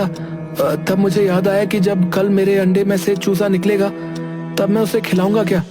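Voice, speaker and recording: A man's voice: high voice, close to an everyday voice. A man voicing a simple, earnest young village lad in a children's cartoon, with a pleading, guileless manner. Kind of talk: Hindi children's cartoon voice acting. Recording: prominent music bed under voice. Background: music.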